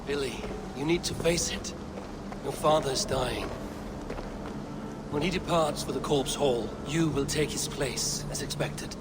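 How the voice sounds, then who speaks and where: An actor playing an earnest, blunt Viking warrior and steadfast friend in a dramatic video game. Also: male voice, on the high side, a little slow, lightly acted. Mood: stern, urging. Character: stern, loyal Viking raider, steadfast friend. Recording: clearly noisy outdoor ambience behind.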